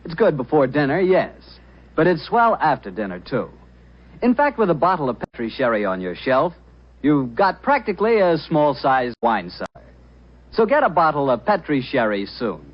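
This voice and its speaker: A man with an American accent, working as a radio announcer and host in 1940s sponsor-pitch style. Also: very animated, moderate pace. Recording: dull, band-limited vintage radio recording.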